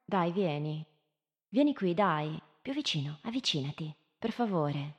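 A woman in a live radio drama playing a gently commanding teacher, measured and questioning, with pauses between her phrases. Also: low voice, sing-song, highs cut off. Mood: coaxing. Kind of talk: live radio drama, slow deliberate storytelling.

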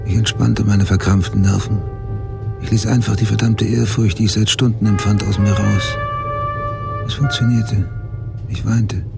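An actor playing a brooding middle-aged writer, narrating his past in a sober, measured, confessional way for a radio drama. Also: on the low side, little pitch movement, gravelly, loud music behind the voice. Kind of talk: literary first-person radio drama narration.

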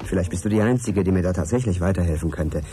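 An actor playing an inquisitive, courteous middle-aged journalist in a naturalistic radio drama, probing and earnest in conversation. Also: male voice, deep voice, quick pace, warm tone, lightly acted. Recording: crowd chatter behind, café scene. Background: café crowd chatter.

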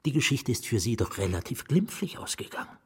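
A middle-aged inmate of an asylum, a bitter novelist with secrets. His voice is very husky and full of breath, often dropping to a hush. He speaks in a wry, conspiratorial way, as in a radio play. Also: medium pitch, sing-song, a little fast. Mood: grave, conspiratorial. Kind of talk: German radio drama acting.

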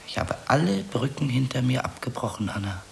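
An actor playing an earnest, pedantic bank clerk in love, hesitant and plaintive, repeating himself in a German radio play. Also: male voice, mid-range voice, a little fast, vocal fry. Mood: wounded, pleading. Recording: steady background hiss.

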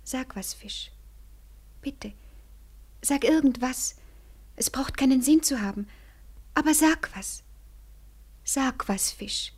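A lonely young woman in a 1940s radio play. Her voice is a little high and she speaks slowly. She is tender and pleading, often dropping toward a whisper. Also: a little mellow, husky, exaggerated voice. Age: young adult.

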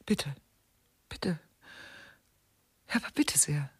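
A woman playing a detached, weary widow giving testimony in a German radio crime comedy, halting and hesitant in her delivery. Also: expressive, dry recording, whispered, studio quality, exaggerated voice. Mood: weary, resigned. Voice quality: husky, creaky, low for a woman. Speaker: witness being questioned.

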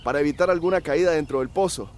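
An actor playing a knowledgeable village well builder in a radio drama, explaining methodically. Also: male voice, on the high side, quick pace, a little mellow, close to an everyday voice. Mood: instructive.

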